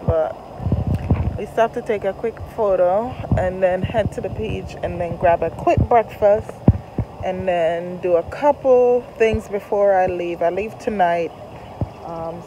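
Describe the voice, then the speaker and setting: A young woman filming herself on a phone at arm's length, talking in an easy, upbeat way to her viewers. She speaks slowly, and loud outdoor noise rushes behind her voice. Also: fairly low pitch. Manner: chatty, upbeat, talking to camera. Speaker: vlogger. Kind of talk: casual travel vlog. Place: outdoors by a roadside and beach. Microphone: phone held at arm's length.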